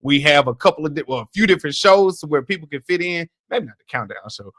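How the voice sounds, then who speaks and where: A man co-hosting a sports podcast, talking in a relaxed, chatty way and laughing easily. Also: fairly high pitch, fast talker, dry recording. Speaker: podcast co-host. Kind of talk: casual podcast chat.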